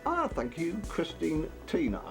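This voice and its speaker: A man's voice: a little high, wildly swooping pitch, measured pace, gravelly, music in the background, exaggerated voice. A man acting a sceptical, needling radio host in a drama, with a formal, challenging manner. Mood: polite, brisk.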